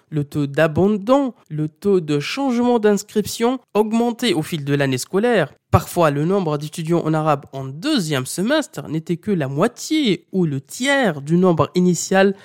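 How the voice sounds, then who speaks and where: Teacher voice, mid pitch, pushy and repetitive, talks right at you like a lesson. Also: big pitch swings, a little slow, a little mellow. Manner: emphatic, insistent, repeats key points; speaks directly to the listener as "tu". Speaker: Arabic teacher. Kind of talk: didactic monologue to learners.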